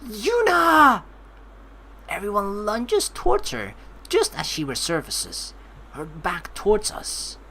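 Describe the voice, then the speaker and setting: A woman's voice: medium pitch, takes it slowly, a little hiss or hum. A woman doing let's play commentary, expressively reading the narration aloud and reacting to it, with game music in the background. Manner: expressive, exclaiming, reacts out loud. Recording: faint game music behind the voice.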